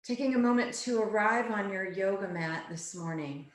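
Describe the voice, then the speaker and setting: A woman yoga teacher guiding a relaxation and meditation class in a soothing, unhurried way, phrase by phrase. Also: mid-range voice. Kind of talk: guided relaxation and meditation instruction. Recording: echoey, roomy sound.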